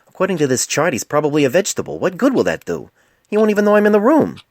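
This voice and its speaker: A man's voice: on the high side, wildly swooping pitch, very fast, no room sound, studio quality, voice pushed up, full character voice. A man voicing a young male pony resident doctor in a storybook reading, defensive, indignant and smart-aleck. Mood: exasperated.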